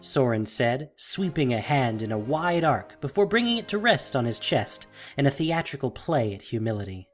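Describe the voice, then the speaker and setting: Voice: medium pitch, big pitch swings, moderate pace, hardly any pauses. A narrator reading a scripted fantasy tale in a dramatic storytelling style. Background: soft music.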